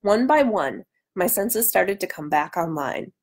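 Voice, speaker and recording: A woman's voice: lowish voice, big pitch swings, unhurried, a little mellow. A woman in her thirties hosting a live book reading with casual chat, her voice nasal from a cold. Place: small room at home, indoors. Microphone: webcam at arm's length.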